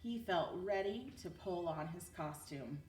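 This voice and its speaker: A woman narrates a children's story in an unhurried, animated way. She sounds a little distant in a roomy space. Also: fairly low pitch. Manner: expressive read-aloud delivery. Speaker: teacher reading a storybook aloud. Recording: roomy, distant mic with background noise.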